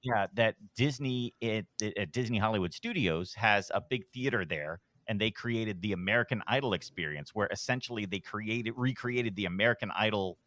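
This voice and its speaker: A middle-aged man with a deep voice, telling a story casually on a podcast. He rambles and pokes fun at himself in an easygoing way. The sound is dry and close, with no room in it. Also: moderate pace, hardly any pauses, no echo. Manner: relaxed, rambling, self-deprecating. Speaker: podcast host, guest.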